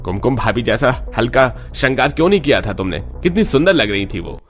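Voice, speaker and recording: Man as a blunt, medium-pitched young husband. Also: lively intonation, a little fast, soft background music, close to an everyday voice. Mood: disgusted.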